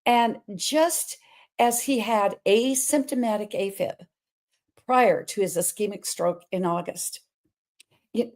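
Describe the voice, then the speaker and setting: A woman's voice: medium pitch, slow. A middle-aged woman hosting her own show, talking in a big, emphatic voice and drifting from one topic to the next. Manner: energetic, emphatic, wandering between topics. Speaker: host. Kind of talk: personal talk-show monologue.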